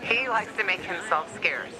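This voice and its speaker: A woman's voice: on the low side, animated, unhurried, over music, low-quality recording. A woman playing a tough, teasing streetwise ally in a video game, talking bluntly.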